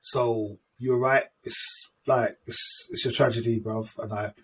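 A man's voice: medium pitch, fairly even intonation, a little slow, a bit bright, husky. A man hosting a casual chat, easygoing and agreeable as he leads the segments. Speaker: podcast host. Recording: distant mic with faint background noise.

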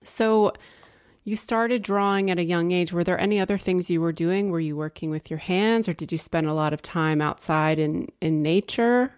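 A woman's voice: on the low side, normal speed, darkish tone, smooth, no echo, studio quality. A woman hosting an interview, asking open questions in a conversational way. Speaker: interviewer, podcast host.

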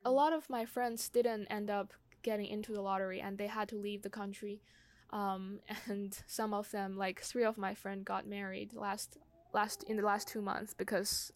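A young woman speaking casually and personally, pausing often and peppering her sentences with "like". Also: medium pitch, flat delivery. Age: young adult. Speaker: conversation host sharing own experience.

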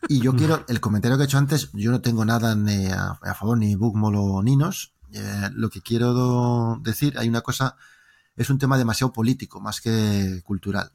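A man from Spain with a Spanish accent, speaking as an interview guest in a relaxed conversation and telling lively, joking stories in long winding turns. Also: lowish voice, moderate pace, creaky.

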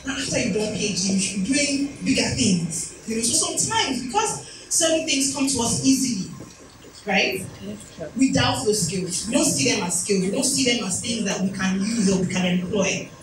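A woman's voice: on the high side, expressive, normal speed. A woman in her thirties giving a motivational talk at an event, telling personal stories with chatty, self-deprecating asides. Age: 30s. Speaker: speaker. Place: event hall. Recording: echoey room from far off, noisy.

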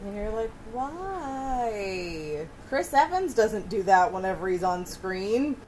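A woman's voice: mid-range voice, very animated, slow pace, darkish tone, slight background noise. A woman co-hosting a podcast, talking casually about films. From Massachusetts, United States. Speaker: podcast co-host. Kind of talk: casual film discussion.

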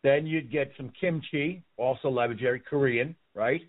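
A man's voice: medium pitch, moderate pace. Chatty man on a tinny line. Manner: rambling, repeats words, laughs easily. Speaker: guest. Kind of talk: casual storytelling interview.

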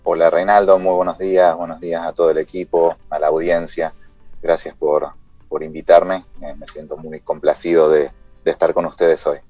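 Deep-voiced Dominican man, measured pace. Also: little pitch movement, mellow, no echo, lo-fi sound, faint music behind. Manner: measured, with many pauses and "eh" fillers. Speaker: software company executive, guest. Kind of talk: radio interview.

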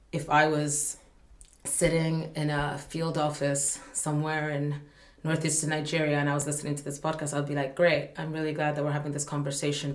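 Woman, from Malawi, earnest, thoughtful, fillers and restarts, echoey room. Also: sits low. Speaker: guest, UN humanitarian staff representative. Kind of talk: podcast conversation.

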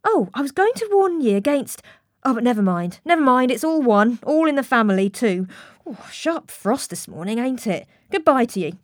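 A woman's voice: a little high, very animated, normal speed, no room sound, voice pushed up. A cryptic old man of the docks, acted out by a woman reading an audiobook. He talks in archaic, rustic turns and keeps repeating himself like someone half cracked. The sound is close and dry. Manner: muttering, repetitive, archaic rustic speech.

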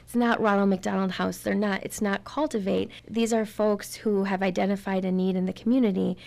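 A woman's voice: mid-range voice, level tone, a little fast, creaky, a little mellow. A middle-aged woman, a guest in an interview, talking earnestly about her community volunteer work and repeating words for emphasis. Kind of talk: casual interview talk.

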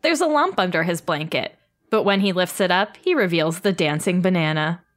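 A woman's voice: fairly low pitch, expressive, normal speed. A woman co-hosting a podcast, reading stage directions for a table read and then chatting in a bubbly, enthusiastic way. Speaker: writer and co-host.

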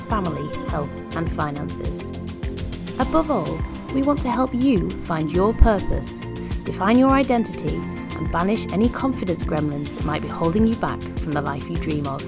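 A woman with a low, gravelly voice reads the opening of a podcast from a script in an upbeat way. Loud music plays underneath her words throughout. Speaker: podcast co-host. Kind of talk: scripted show intro. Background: music bed.

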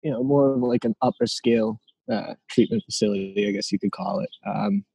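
A man in his late twenties, a guest talking casually and haltingly, with lots of fillers and restarts. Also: mid-range voice, creaky, warm tone, slightly rough, no echo, low-quality recording. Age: 20s. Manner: hesitant, many fillers and restarts. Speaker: interview guest. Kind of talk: casual conversation.

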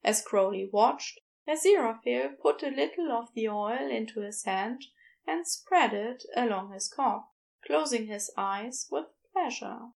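Slow, creaky woman narrating fiction. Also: a little high, animated, darkish tone. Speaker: fan fiction audiobook reader. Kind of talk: scripted story narration.

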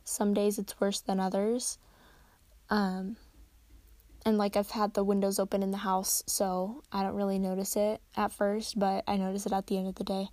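A woman's voice: mid-range voice, level tone, moderate pace, dark tone, no echo. Tired, chatty young woman podcaster. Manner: rambling, chatty, self-correcting. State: tired, slightly raspy. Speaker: podcast host. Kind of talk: casual solo podcast chat. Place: at home. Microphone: close desk microphone.

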